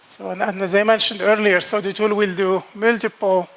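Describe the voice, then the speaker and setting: A man's voice: high voice, little pitch movement, normal speed, a bit bright. A man giving a technical conference presentation on a hall stage, talking plainly with repeated words and fillers. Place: large dark lecture hall stage.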